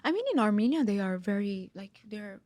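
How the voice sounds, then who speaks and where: A woman from Lebanon, a singer-songwriter being interviewed, talking casually in halting phrases that she often repeats, with many fillers. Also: medium pitch, expressive, mellow.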